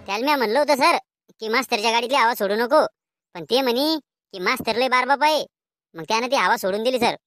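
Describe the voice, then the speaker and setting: A woman's voice: a little high, quick pace, fairly bright tone, soft background music, lightly acted. A woman voicing a cheeky, quarrelsome village schoolboy in a comedy cartoon, with rural Maharashtrian slang and a taunting, argumentative way of talking. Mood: tattling.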